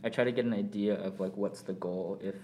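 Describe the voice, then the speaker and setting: Low, flat-voiced Korean-born man. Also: slight background noise. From South Korea. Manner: thoughtful, measured, many pauses and fillers. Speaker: podcast guest. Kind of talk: casual reflective conversation.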